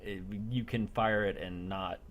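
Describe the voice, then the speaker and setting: A man's voice: low-pitched, steady tone, a little slow. A man acting as game master, narrating a role play in a blunt, casual way and sometimes swearing. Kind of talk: narrating a live tabletop-style role play. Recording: noisy online voice chat.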